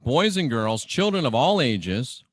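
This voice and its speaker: A middle-aged man delivers an emphatic radio sermon at a slow, deliberate pace. He repeats key phrases dramatically, like a teacher driving a point home. His mid-pitched voice sits in a dry recording with no room sound. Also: sing-song, dry recording. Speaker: radio preacher and show host.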